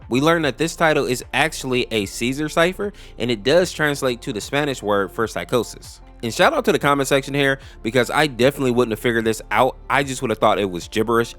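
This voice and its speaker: A man's voice: medium pitch, fairly bright tone. Man narrating casually over music. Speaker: YouTube host explaining animated horror lore. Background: background music.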